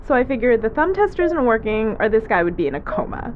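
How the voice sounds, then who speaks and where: A woman's voice: fairly high pitch, fast talker, phone-quality sound, slight background noise. An actress playing a casual, teasing young woman who works as a spaceship medic. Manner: chatty, animated storyteller, casual and teasing. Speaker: ship medic.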